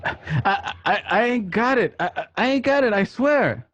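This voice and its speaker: A man's voice: sits high, lively intonation, unhurried, fairly bright tone, pitched above the performer's own voice, heavily acted. A man playing a terrified man pleading and stammering through a beating, over a dull online call. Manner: stammering, breathless begging.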